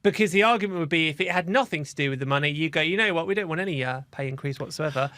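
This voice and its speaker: A man's voice: a little high, rapid-fire, sharp tone, no room sound. A man hosting a news podcast in a conversational, discursive style. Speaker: podcast host.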